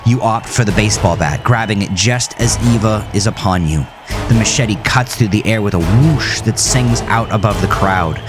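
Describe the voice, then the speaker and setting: A man's voice: lowish voice, moderate pace. A man reading his own horror story as a dramatic, intense scripted narration. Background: music under the voice.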